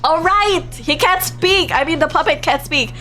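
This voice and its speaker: A woman livestreaming a visual novel, reading story text aloud and commenting on it in an animated, reactive way. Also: very high voice, moderate pace, faint music behind. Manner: animated, reactive, giggly, drops into hushed narration. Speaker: streamer playing a visual novel live.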